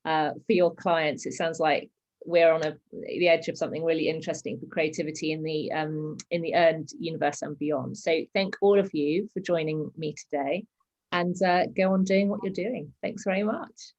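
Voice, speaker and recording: A woman's voice: deep voice, fairly even intonation, moderate pace, darkish tone, muffled recording. Polite woman moderating a panel. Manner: polite, wrapping up with thanks. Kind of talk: hosting a video-call panel.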